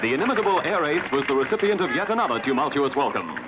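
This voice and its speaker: A man's voice: on the high side, very animated, fast, dull audio, background noise. A man reading scripted documentary narration as a newsreel narrator. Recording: band-limited archive sound over music. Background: music.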